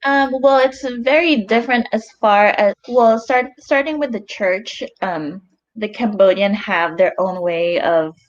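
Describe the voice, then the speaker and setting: A young woman from the Philippines, answering interview questions in a soft, hesitant way with many ums. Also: medium pitch, measured pace. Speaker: guest. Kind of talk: casual interview chat. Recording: slightly dull audio.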